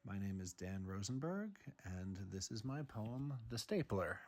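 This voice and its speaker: Low, unhurried man reading poetry. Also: very animated. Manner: measured, deliberate phrasing. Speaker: poet reading own work.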